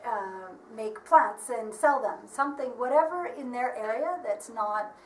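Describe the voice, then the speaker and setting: A woman's voice: a little high, unhurried. Woman explaining, echoey and distant. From United States. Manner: earnest, steady explaining with frequent ums and restarts. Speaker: marketing advisor. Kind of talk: explanatory interview answers. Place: workshop premises. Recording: echoey room, voice from far off, faint background noise.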